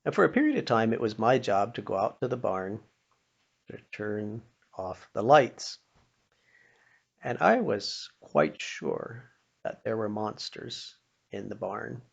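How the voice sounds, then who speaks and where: An older American man telling informal inspirational stories in a folksy, plain-spoken manner, pausing now and then. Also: fairly low pitch, big pitch swings, creaky, slightly rough, highs cut off. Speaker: storyteller sharing childhood memories with a religious lesson.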